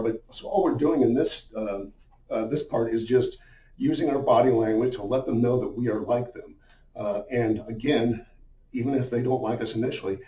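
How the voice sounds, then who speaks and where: Male business coach, middle-aged, mid-pitched mellow voice, chatty explainer style, recorded in a roomy, echoing space. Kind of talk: informal instructional talk at a whiteboard. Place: small indoor room with a whiteboard. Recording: roomy, muffled, distant-sounding echo.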